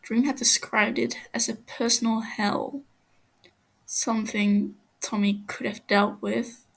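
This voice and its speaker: A woman narrates a story at a slow pace. Her voice is husky with heavy creak, and she moves haltingly from phrase to phrase. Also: on the high side, flat delivery, rough recording. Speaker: fan fiction reader.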